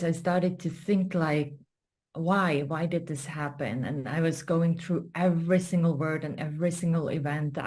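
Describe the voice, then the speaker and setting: Female interview guest with a Finnish accent. Low register, very unhurried, measured delivery for personal storytelling. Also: darkish tone. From Finland. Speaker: guest, story coach and spiritual mentor.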